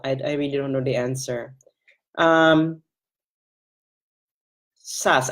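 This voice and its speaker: A Filipino-accented woman in her thirties, frankly answering viewer questions in a casual live Q&A. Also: sits low. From Philippines. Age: 30s.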